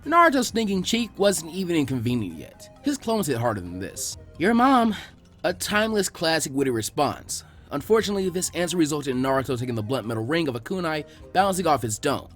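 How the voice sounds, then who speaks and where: A man reading fan fiction aloud as an expressive scripted narration. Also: on the high side, quick pace, few pauses, soft background music.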